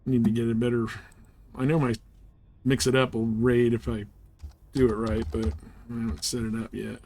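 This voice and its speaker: An easygoing man hosting a live game stream. His voice sits at a medium pitch. He chats casually with viewers and often half mutters to himself. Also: flat delivery, normal speed, a little mellow, a little hiss or hum.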